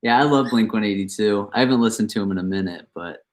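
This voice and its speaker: A man's voice: fairly low pitch, quick pace, darkish tone. A young man with a nasal voice, chatting casually and upbeat on a trading live stream. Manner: laid-back, upbeat, chatting about trades between songs.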